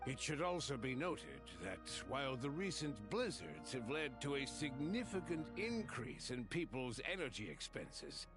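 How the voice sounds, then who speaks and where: A man playing a formal government official who delivers reports in a measured, bureaucratic manner in a video game. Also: on the high side. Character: formal male government official chairing an assembly. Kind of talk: formal scripted report reading.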